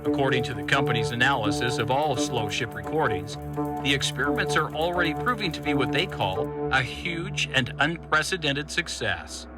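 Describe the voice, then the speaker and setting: A man playing a composed radio news journalist, reading a scripted report in a measured, formal manner. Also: medium pitch, animated, lightly acted. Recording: music bed under the voice.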